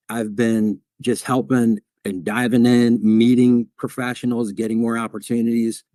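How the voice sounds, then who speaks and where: A man with a Philadelphia accent from South Jersey, a mortgage lender guest telling his story in a relaxed, conversational way. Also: fairly low pitch, dry recording. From South Jersey, near Philadelphia. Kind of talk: casual interview conversation.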